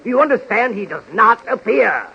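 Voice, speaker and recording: A man's voice: sits high, big pitch swings, normal speed, creaky, exaggerated voice. An actor playing an exasperated film director, straining for patience as he spells out instructions in 1930s radio comedy style. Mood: strained patience. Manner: emphatic, spelled-out coaxing instructions. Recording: noisy, muffled 1930s radio recording.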